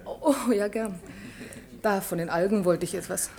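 An actress playing a wry, chatty married office clerk in a German science-fiction radio drama. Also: female voice, medium pitch, fairly even intonation, normal speed, creaky, mellow, close to an everyday voice. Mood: flustered, wry. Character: Mary, married dispatch clerk, mildly flustered and wry.

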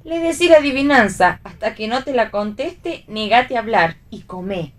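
A woman with an Argentine accent playing a lively young actress, a bossy, reassuring friend, in a radio play reading. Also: a little high, moderate pace, close to an everyday voice. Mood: playful coaching. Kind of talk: read theatre for radio.

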